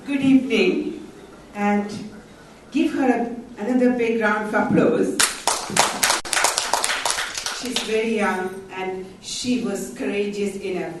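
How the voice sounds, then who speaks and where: A woman's voice: medium pitch, darkish tone, slight background noise. Middle-aged woman, earnest panel talk, roomy distant hall sound. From India. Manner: earnest storytelling with pauses. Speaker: panel speaker. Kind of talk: conference talk. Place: large conference hall. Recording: very echoey hall from far off.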